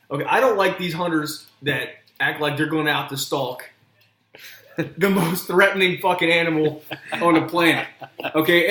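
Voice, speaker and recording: A man's voice: fairly high pitch, a bit bright. A man co-hosting a casual podcast, ranting bluntly and sarcastically and swearing constantly. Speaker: podcast co-host. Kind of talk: profane casual rant. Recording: echoey room, faint noise.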